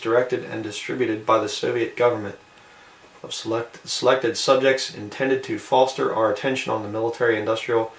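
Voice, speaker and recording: A man's voice: mid-range voice, vocal fry, far from the mic. Male video host, informal and musing as he reads and comments, roomy sound, set back from the mic. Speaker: video host reading and commenting on an old newspaper. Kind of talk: informal commentary mixed with reading aloud.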